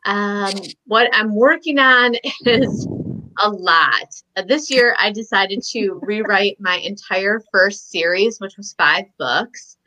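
A woman's voice: mid-range voice, takes it slowly, bright, forceful tone, low-quality recording. A woman from Michigan, a guest author chatting casually on a video call, talking with lots of "um"s and small laughs. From Michigan, USA. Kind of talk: casual podcast chat.

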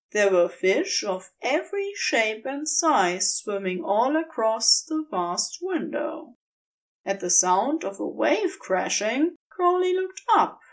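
A woman narrating scripted fiction in an expressive, dramatic storytelling manner. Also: a little high, low-quality recording.

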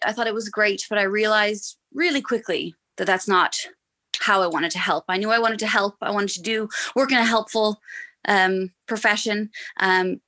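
A woman's voice: mid-range voice, sharp tone. A Canadian woman in her thirties, a shop owner, friendly and explanatory with frequent ums as a podcast guest. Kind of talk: podcast interview.

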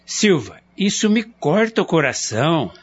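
An actor playing a soft-hearted, hesitant Brazilian husband in a radio drama, speaking in a tentative, pleading way. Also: male voice, on the high side, very animated, unhurried, breathy edge, dull audio, heavily acted. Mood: pleading, pained.

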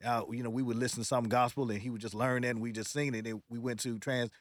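Male guest singer, casual slang, fast and close-miked. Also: mid-range voice, no room sound. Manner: relaxed slangy talk, tags "man" and "bro". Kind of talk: casual interview. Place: podcast studio.

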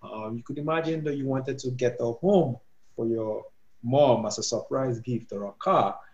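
A young man from Nigeria explains his work in a halting way, stopping often for ums and starting over. His voice sits at an ordinary pitch. It comes through with a roomy echo and a muffled, lo-fi tone. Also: darkish tone. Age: 20s. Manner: hesitant, many ums and restarts. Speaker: guest, physics PhD student and startup co-founder. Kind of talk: podcast interview.

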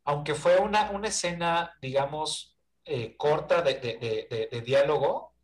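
Mexican male film panelist, slightly high-pitched, halting casual delivery. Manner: hesitant, searching for words, often seeking agreement.

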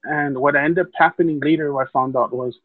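A man's voice: a little high, fairly even intonation, a little fast, no room sound. A man from Hawaii telling his life story as a podcast guest, rambling with many fillers and restarts. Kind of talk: casual storytelling. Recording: tinny phone-like call line, dry.

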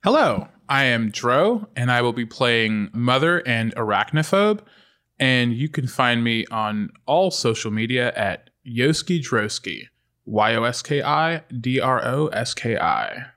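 Casting: male guest voice actor, bright forward voice, middle pitch, casual introductions. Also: big pitch swings, unhurried.

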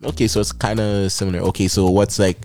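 A man's voice: on the low side, flat delivery, quick pace, a little mellow, soft background music. A man hosting a casual podcast chat, asking questions and chiming in with playful reactions. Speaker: podcast host.

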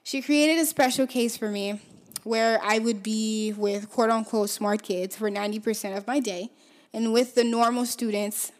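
A woman in her twenties, a native Haitian Creole speaker from Haiti, hosting a podcast and telling her life story in a reflective way, often letting her phrases trail off quietly. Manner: reflective, often drops to a soft low trail at phrase ends. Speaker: podcast host. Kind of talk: personal storytelling.

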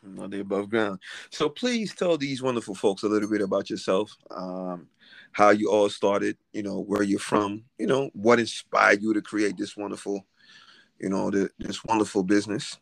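A man's voice: fairly low pitch, normal speed, gravelly. A Haitian-born man hosting a podcast, chatting casually with his guest in an affirming, friendly way. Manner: warm, affirming, calls guest darling. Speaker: podcast host. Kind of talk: casual interview chat.

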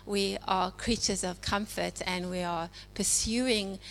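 A South African woman in her forties giving an informal church message, talking in a conversational way with many pauses and ums. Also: medium pitch, fairly even intonation, gravelly. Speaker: church speaker. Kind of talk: informal sermon. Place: church hall. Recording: hall reverb with faint hum.

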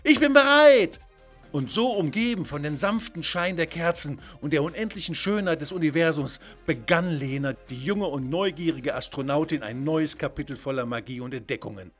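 A man's voice: fairly high pitch, fairly quick, hardly any pauses, soft background music. A man narrating a scripted children's story in a dramatic, wonder-filled way.

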